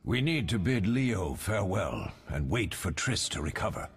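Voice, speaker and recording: An actor playing a weary, fatherly older warrior mentor in a fantasy game, measured and grave. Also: low-pitched, very animated, slightly rough, heavily acted. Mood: steady, resolved.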